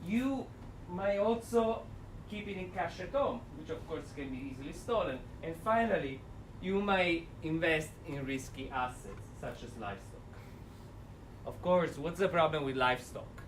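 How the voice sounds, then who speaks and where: A man with a thick Italian accent giving an energetic, interactive seminar talk, standing and speaking without a microphone. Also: on the high side, normal speed. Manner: energetic, addresses audience directly. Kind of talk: interactive lecture. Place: university seminar room. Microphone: no mic, standing away from recorder. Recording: distant voice with faint room noise.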